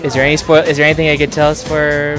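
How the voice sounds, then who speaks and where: Casual male interviewer, voice on the higher side, loose and chatty phrasing. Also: monotone, very fast, over music. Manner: loose, rambling questions with many "like"s. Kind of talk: casual chat.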